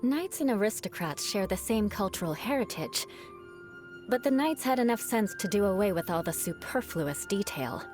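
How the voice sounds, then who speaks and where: An actress voicing a proud, vengeful young aristocratic knight in a video game, speaking with haughty, formal, theatrical diction. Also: female voice, mid-range voice, expressive, moderate pace, darkish tone, soft background music, natural voice. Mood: haughty, scheming. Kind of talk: scripted video game character voice lines.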